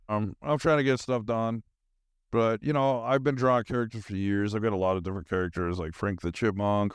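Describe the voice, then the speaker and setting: A man talks casually to his podcast listeners in a fairly low, smooth voice, recorded very dry and close. He wanders from thought to thought, informal and self-deprecating, like someone thinking out loud. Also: dry recording. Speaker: podcast host. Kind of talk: rambling casual solo chat.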